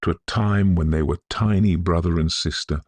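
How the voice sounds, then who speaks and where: Male narrator for Victorian supernatural fiction: bottom-of-the-range bass, husky grain, scholarly and atmospheric, deliberate phrasing. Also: a little mellow, no room sound. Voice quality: gravelly, husky. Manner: scholarly, measured, atmospheric delivery. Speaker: narrator of a Victorian ghost story. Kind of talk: scripted dramatic audiobook narration.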